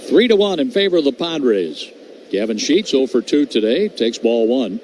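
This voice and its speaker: A man working as a radio play-by-play announcer, calling baseball pitch by pitch in a brisk, steady way that rises on big plays. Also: a little hiss or hum, poor audio. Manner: brisk, steady calls that lift on hits.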